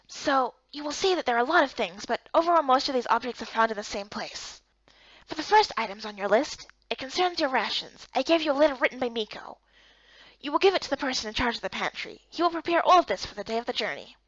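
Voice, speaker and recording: A woman voicing a calm, matter-of-fact leader who hands out tasks in a game story. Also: high voice, quick pace, no room sound. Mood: calm, instructive. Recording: dull lo-fi close recording.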